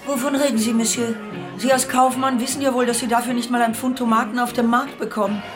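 Actress as high-voiced, defiant Parisian courtesan over music. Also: female voice, flat delivery, quick pace, natural voice. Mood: scornful. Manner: theatrical, scornful. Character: defiant, scheming, debt-ridden ageing Parisian courtesan. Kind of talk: German radio play acting. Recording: music behind the voice.

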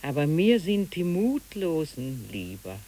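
Slow, swooping, dreamy country woman. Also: big pitch swings, warm tone. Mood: musing, probing. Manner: slow, musing, swooping in pitch. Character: dreamy, unhurried country woman who knits the sea. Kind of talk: literary radio play acting. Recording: hissy old radio recording.

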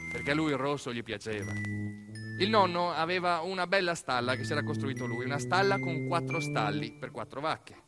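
A man performing a theatrical storytelling monologue as actor-narrator, speaking dramatically in short phrases. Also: medium pitch. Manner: dramatic, short phrases, as a boy recalling family. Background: music.

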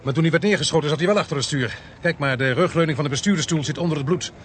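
A man playing a grim, determined police inspector in a radio drama, giving clipped orders and pausing as he thinks. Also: a little high, fairly bright tone, lightly acted. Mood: brooding, businesslike. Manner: authoritative, clipped orders with hesitant pauses. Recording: noisy, street and traffic ambience.

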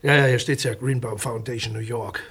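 An actor playing a formal, guarded public prosecutor in a German radio crime drama, courteous, bureaucratic and a little hesitant. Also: male voice, mid-range voice, steady tone, brisk, fairly bright tone, slightly rough. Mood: guarded, officious.

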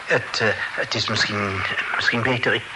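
An actor plays a fussy, formal gentleman in an old radio drama, pompous in manner and quick to stammer and clear his throat when flustered. His voice sits low, with a hiss of old broadcast noise behind it. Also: steady tone, lightly acted. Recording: noisy old radio play recording.

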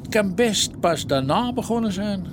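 A down-to-earth male detective with a slightly high voice. He sounds informal, wry and matter-of-fact, in the natural style of a radio drama. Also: expressive, normal speed, noisy background. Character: easygoing, down-to-earth police detective, junior to the inspector.

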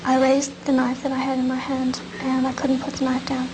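A woman's voice: sits high, completely flat delivery, normal speed. An American woman giving a subdued personal recollection in an archive interview. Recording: noisy archive interview recording. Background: noise.

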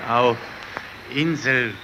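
Grim, spent expedition captain: very slow, halting radio play delivery over clear noise. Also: male voice, mid-range voice. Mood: exhausted, grieving. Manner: halting, mixes in Italian words. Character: exhausted rescued Italian polar captain, grim, faltering. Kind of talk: German radio play acting. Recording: noisy old radio drama recording.

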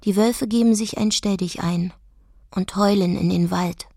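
An actress playing a fragile, lovelorn dreamer in a radio drama, halting and emotional. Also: female voice, medium pitch, steady tone, darkish tone. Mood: dreamy.

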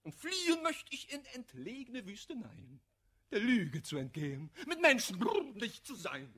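An actor playing an embittered, hot-headed misanthrope in a classical German radio play, declaiming vehemently in rhymed verse. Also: male voice, sits high, huge pitch swings, a little slow, bright, forceful tone, husky, heavily acted. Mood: hateful, outraged. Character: embittered, hot-headed male misanthrope railing against hypocrisy.

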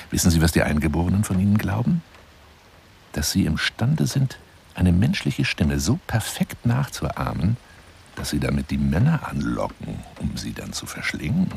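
A man's voice: extremely low pitch, creaky, slight background noise, exaggerated voice. An actor playing an eccentric, obsessive lion hunter who woos a woman by telephone in an effusive, insinuating radio play monologue. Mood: ominous relish. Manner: effusive, insinuating, swinging between whispers and exclamations.

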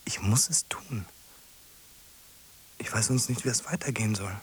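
An actor playing an anxious, guilt-ridden man in a radio drama, husky and hesitant, speaking in fragments. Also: lowish voice, moderate pace. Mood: anxious, brooding. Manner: hesitant, fragmented, muttering to himself.

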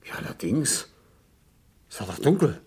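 An actor playing an evasive middle-aged householder under suspicion in a 1960s radio crime drama, husky, hesitant and formally careful. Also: male voice, medium pitch, very animated, normal speed, darkish tone, exaggerated voice. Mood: defensive. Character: evasive middle-aged householder, a suspect pleading self-defence.

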